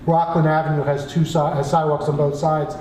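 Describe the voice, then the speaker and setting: A man with a somewhat high voice speaks at a public meeting. His delivery is cautious and hedged. The sound is heavily echoed, with clear noise in the background. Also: flat delivery, fairly quick, mellow. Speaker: participant in a borough council meeting. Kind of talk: spoken remarks at a public meeting. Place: indoor council meeting room.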